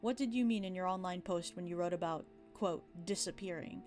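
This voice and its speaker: A woman's voice: lowish voice, brisk, darkish tone, faint music behind, close to an everyday voice. A woman reading as a stern courtroom questioner. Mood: stern, probing.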